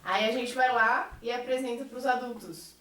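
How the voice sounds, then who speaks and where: A woman's voice: a little high, moderate pace, a bit bright, slight background noise. A woman with a Brazilian accent speaking earnestly and articulately in a reflective group conversation, as a member of a theatre troupe. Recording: echoey room, distant mic.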